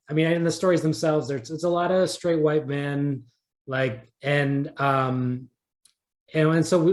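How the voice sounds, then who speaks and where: A man with a slightly high voice chats as an interview guest. He talks informally and loosely, restarting phrases and dropping in likes. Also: a little mellow. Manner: conversational, peppered with likes and repeated starts. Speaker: interview guest, audio drama creator. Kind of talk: casual interview chat.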